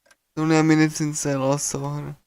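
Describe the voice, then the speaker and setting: A man reading a visual novel's text aloud on a casual live stream, in a halting, stumbling delivery. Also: a little high, completely flat delivery, gravelly, a little mellow, smooth, dry recording.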